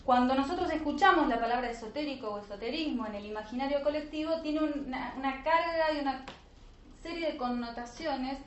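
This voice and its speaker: A woman teaching a class in an explanatory lecture style, often pausing with short check-in questions. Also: sits high. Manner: didactic, frequent check-in questions. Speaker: teacher of an online spiritual alchemy course. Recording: roomy echo, dull lo-fi sound, faint noise.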